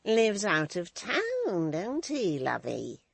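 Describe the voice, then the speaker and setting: A reader voicing a sly, prying old woman in an audiobook, slow and coaxing with a breathy edge. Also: medium pitch, huge pitch swings, no echo, voice pushed up, full character voice. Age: older. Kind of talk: audiobook character voice.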